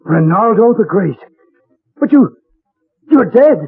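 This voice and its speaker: A man's voice: high-pitched, lively intonation, slow pace, husky, heavily acted. A man playing a terrified, blustering businessman in 1940s radio horror, frantic and melodramatic. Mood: alarmed. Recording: tinny old radio recording.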